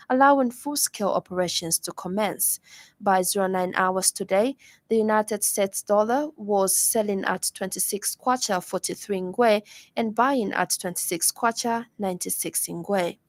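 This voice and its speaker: A woman's voice: medium pitch, no echo. A woman with a Zambian accent reads a scripted news bulletin as a radio presenter in a studio.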